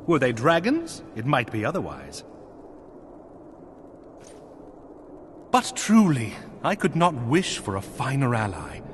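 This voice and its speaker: Expressive, courtly fantasy nobleman. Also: mid-range voice, normal speed, slight background noise. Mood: gracious, warm. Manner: formal, archaic, courtly phrasing. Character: earnest, gracious nobleman commanding a snowbound knightly outpost. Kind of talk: scripted fantasy game dialogue.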